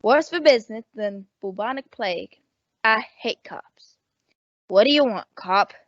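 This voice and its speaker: A woman playing a gruff, suspicious, money-minded rooming house landlady in an old-time radio detective drama, blunt, slangy and wisecracking. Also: on the high side, expressive, a little slow, no room sound, dull audio. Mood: suspicious, hostile.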